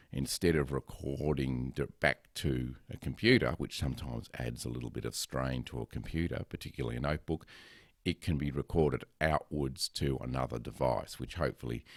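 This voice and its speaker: A man with a very deep, loud and resonant voice talks casually about his recording equipment. He rambles, filling pauses with ums and correcting himself. The sound is dry and close, with no room echo. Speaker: podcaster. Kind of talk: casual gear talk to camera. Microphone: headset microphone close up.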